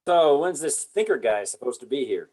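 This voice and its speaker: A man's voice: medium pitch, animated, moderate pace, warm tone, close to an everyday voice. A man playing an easygoing, hearty military squad leader with breezy delivery in an amateur table read.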